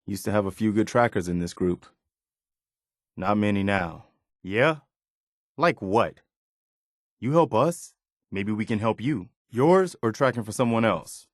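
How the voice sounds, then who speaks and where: A man playing an earnest, weary and idealistic militia officer in a post-apocalyptic video game, speaking in a measured way. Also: on the low side, lively intonation, dry recording, clean studio sound. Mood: guarded, pleading. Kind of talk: video game character dialogue.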